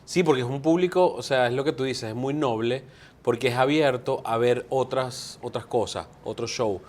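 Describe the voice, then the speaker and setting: A man's voice: mid-range voice, normal speed, darkish tone, slight background noise. A man hosting an interview, asking questions attentively and often backing up his guest. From Venezuela. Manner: attentive, frequent affirming "ujú". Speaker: interviewer, host. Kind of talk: casual interview chat.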